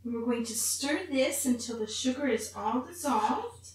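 High-voiced woman in a very echoey kitchen. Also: animated, normal speed. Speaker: cooking show host in character as Mrs. Claus. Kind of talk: recipe demonstration to camera. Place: home kitchen, indoors. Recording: very echoey, distant mic, faint room noise.

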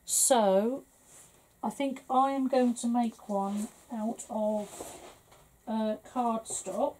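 Slightly hoarse middle-aged english woman rambling through a craft demo, slow and a bit gravelly, faint hiss. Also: a little high, fairly even intonation, mellow, low-quality recording. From England. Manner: chatty, rambling, full of fillers. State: slightly hoarse, losing her voice. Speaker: papercraft tutor. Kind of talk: casual crafting tutorial.

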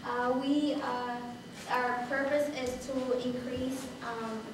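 A young woman with a high voice introduces a workshop in halting, hesitant phrases. She is picked up from far off in a very echoey meeting room, with clear background noise. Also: flat delivery. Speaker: workshop presenter. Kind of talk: bilingual workshop presentation. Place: meeting room with brick walls, indoors. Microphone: distant camera microphone. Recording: distant, echoey, noisy room recording.

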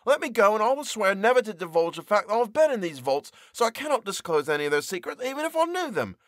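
A man voicing a desperate young prisoner who pleads in a high, strained voice. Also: big pitch swings, fast, no echo, clean studio sound. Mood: pleading.

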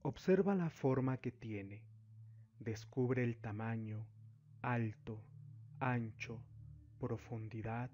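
A man narrating a guided meditation as a spiritual guide, giving deliberate instructions in short phrases with long pauses. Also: medium pitch, a little mellow, dull audio. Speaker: spiritual therapist, meditation guide and channel host. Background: background music.

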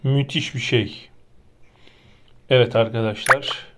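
A man's voice: medium pitch, fairly even intonation, slow, very noisy. A man giving casual, chatty gameplay commentary, thinking aloud to his viewers. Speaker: gaming video host. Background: loud game music behind the voice.

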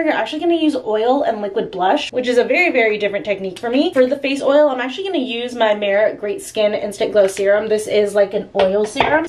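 A woman's voice: a little high, slight background noise. A woman in her thirties, a vlogger, chatting casually about a clothing haul and her makeup, upbeat and thinking aloud with lots of "like"s, filmed at home on a camera mic. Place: bedroom at home, indoors.

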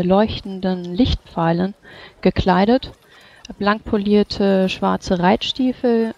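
A woman takes part in a tabletop role-playing game, describing and speaking for her character. Her voice is mid-pitched and creaky, on a slightly dull microphone. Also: darkish tone.

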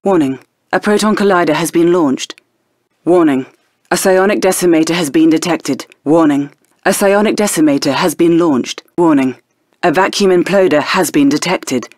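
An actress playing a composed female military intelligence officer, giving crisp, formal status announcements in a strategy video game. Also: low-pitched, moderate pace, a little mellow, no room sound, clean studio sound. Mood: composed, official.

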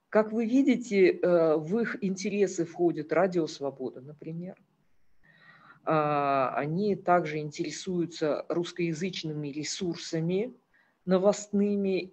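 A woman's voice: fairly low pitch, dark tone, breathy edge, dull audio. A woman giving a lecture with slides, talking earnestly with frequent hesitations and fillers.

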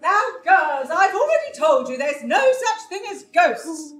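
A woman's voice: sits high, very animated, unhurried, a little hiss or hum. A woman playing a bossy, dismissive mother in a broad, theatrical pantomime, scolding in an exaggerated way. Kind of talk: broad pantomime stage acting with audience call-outs. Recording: live stage recording with music.

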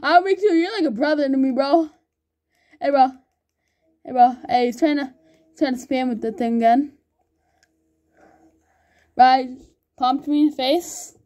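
Excitable young woman gamer. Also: high-pitched, unhurried, long pauses. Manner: excitable, calls everyone bro, laughs a lot. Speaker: gamer recording gameplay. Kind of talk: excited gaming banter with a friend.

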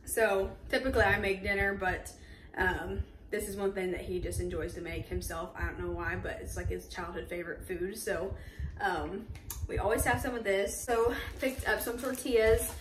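A woman's voice: mid-range voice, fairly even intonation, moderate pace, far from the mic, a little hiss or hum. A woman in her twenties talking casually on a home vlog, chatty and upbeat with lots of fillers. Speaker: vlogger. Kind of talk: casual grocery haul vlog. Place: home kitchen, indoors. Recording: echoey kitchen, a bit off-mic.

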